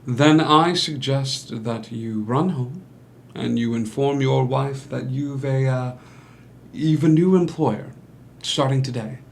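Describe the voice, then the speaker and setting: An actor playing a smooth-talking, scheming doctor and businessman in a stage drama read, with formal, measured, manipulative delivery. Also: mid-range voice, slight background noise. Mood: persuasive. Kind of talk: musical table read, dramatic stage acting.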